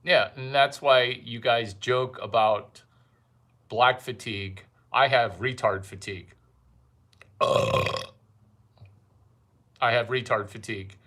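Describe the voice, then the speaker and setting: A male comedian holding forth in a rambling monologue, saying his lines slowly and deliberately. He keeps hammering the same catchphrases over and over, stretching the words he wants to land. His voice sits at an ordinary middle pitch for a man. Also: steady tone, pushed and bright. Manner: provocative, repeats catchphrases, drawn-out emphasis. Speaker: comedian host.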